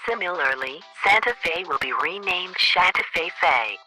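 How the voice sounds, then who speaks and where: A very bright, sharp-edged, fairly high voice from a male actor plays an officious government chief of staff. The delivery is formal and deadpan, like bureaucratic orders left on voicemail in a radio satire, with music underneath. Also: moderate pace, exaggerated voice.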